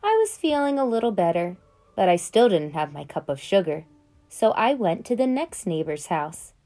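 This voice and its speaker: A woman voicing a sly, smooth-talking male wolf who tells his side of the story in a chatty, conspiratorial way, read aloud from a children's picture book. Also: fairly low pitch, sing-song, moderate pace, dark tone.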